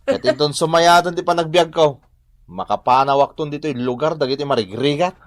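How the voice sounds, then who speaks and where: A man's voice: a little high, a little fast, natural voice. An actor playing an ambitious, proud young man in a radio drama, speaking with an Ilocano accent. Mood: boastful. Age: young adult. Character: ambitious, proud young son scorning his poor village.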